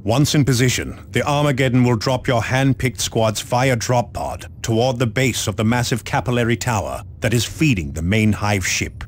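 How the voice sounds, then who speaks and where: An actor playing a grave, stoic space marine captain who gives formal, measured orders in a video game. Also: male voice, medium pitch, a little hiss or hum, natural voice. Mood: solemn, resolute. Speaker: military commander. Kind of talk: video game mission briefing, dramatic acting.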